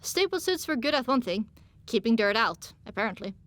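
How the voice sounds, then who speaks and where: A woman's voice: a little high, sing-song, a little fast, fairly bright tone, no echo. A woman reading dramatic first-person audiobook narration, with music playing underneath.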